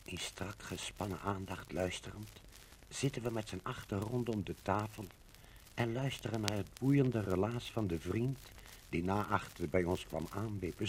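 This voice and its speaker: A man's voice: lowish voice, darkish tone, natural voice. Solemn Dutch radio-drama storyteller played by a man, noisy recording. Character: Dutch man in hiding reliving liberation, emotional, intense. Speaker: first-person narrator. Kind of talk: 1950s Dutch radio docudrama narration.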